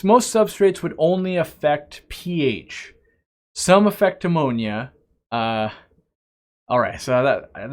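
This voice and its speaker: A man hosting a casual live-stream Q&A. He reads viewer questions aloud and answers them in a rambling, chatty way, often restarting his sentences. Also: medium pitch. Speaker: aquarium shop owner hosting a live stream.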